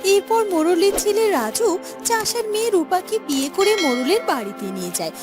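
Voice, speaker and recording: A woman's voice: very high-pitched, sing-song, normal speed. Woman narrating a cartoon story over music. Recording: background music under the voice.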